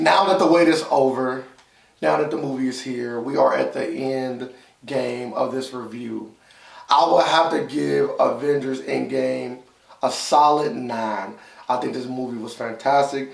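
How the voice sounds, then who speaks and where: A man's voice: medium pitch, gravelly. A man giving a casual, opinionated film review as co-host, speaking emphatically and repeating phrases for stress. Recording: roomy echo, distant from mic.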